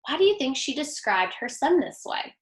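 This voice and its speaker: A woman giving an expressive, teacherly picture-book read-aloud and putting questions to young listeners. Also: high-pitched, moderate pace. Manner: animated, teacherly. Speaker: teacher reading aloud to children.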